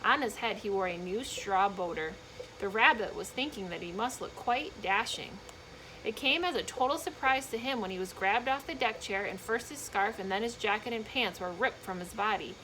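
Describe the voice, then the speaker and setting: A woman reading a children's book aloud in an expressive, emphatic storytelling manner, with short explanatory asides for young listeners. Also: fairly high pitch, normal speed, slight background noise, low-quality recording.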